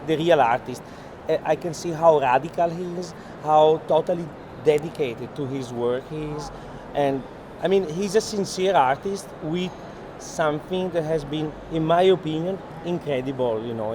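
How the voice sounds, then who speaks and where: A man explains things in earnest, as a gallery owner in unscripted conversation, with hesitant phrasing. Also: a little high. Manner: earnest, hesitant non-native English phrasing. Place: art fair hall, on a walking tour. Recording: noisy busy indoor fair hall.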